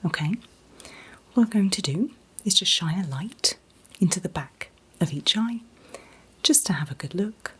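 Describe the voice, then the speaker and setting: A woman in a gentle, soothing ASMR roleplay as an optician, whispering breathily and reassuringly without hurry. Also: lowish voice, expressive, normal speed. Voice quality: breathy, mostly unvoiced whisper. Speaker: ASMR performer playing an optician.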